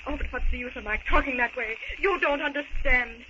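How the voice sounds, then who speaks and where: An actress playing a shaken, earnest young heroine stranded in the jungle, breathless and melodramatic in a 1930s radio serial. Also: female voice, sits high, brisk, natural voice. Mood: shaken, relieved. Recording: muffled, noisy 1930s radio recording.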